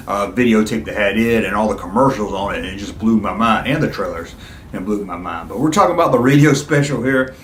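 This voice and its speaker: A man's voice: lowish voice, reverberant, a little hiss or hum. A middle-aged man talking casually and nostalgically to his viewers, with an enthusiastic, rambling, conversational manner. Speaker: YouTube video host.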